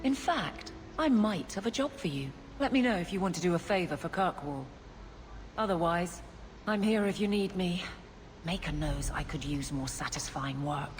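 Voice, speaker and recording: A dutiful guardswoman from a fantasy game, played by a woman, speaks in a fairly low voice. She is plain-spoken and world-weary, with a dry, matter-of-fact manner. A faint noise sits behind her. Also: expressive, moderate pace, lightly acted. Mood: brisk, wry. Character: blunt, dutiful female city guard, world-weary and loyal. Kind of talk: video game dialogue.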